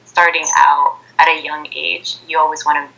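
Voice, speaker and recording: A young woman of twenty from Ecuador, with Spanish as her first language, gives informal interview answers. Her voice is low and bright, and it comes through a muffled internet call. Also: level tone, normal speed, vocal fry. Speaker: interview guest, college student. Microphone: Skype video call. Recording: dull, phone-like internet video call.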